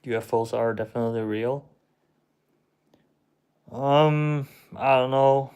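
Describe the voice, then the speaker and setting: A young man whose first language is Czech, hosting a casual livestream and answering chat in a slow, hesitant, shrugging way. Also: mid-range voice, fairly even intonation, darkish tone, smooth. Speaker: livestream host reading chat.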